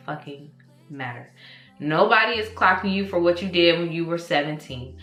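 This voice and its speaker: A young woman in her twenties gives frank big-sister advice, casual and animated. Her voice sits low but sounds bright and forceful. The room is echoey, with faint music underneath. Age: 20s. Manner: candid and animated, with slang and swearing. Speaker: vlogger giving advice.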